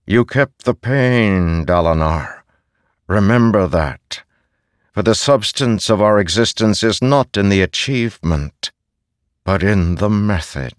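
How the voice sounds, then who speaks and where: A man voicing a haunting, solemn, wise spirit in a fantasy audiobook, speaking in measured, deliberate pronouncements. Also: lowish voice, lively intonation, dry recording, studio quality. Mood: grave, consoling.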